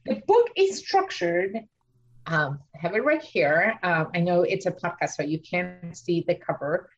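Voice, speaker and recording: Argentine woman architect, halting and chatty. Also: on the low side, lively intonation, highs cut off. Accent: Argentine Spanish. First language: Spanish. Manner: thinks aloud with many fillers and restarts. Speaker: architect and author. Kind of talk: podcast interview. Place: home studio in Washington DC.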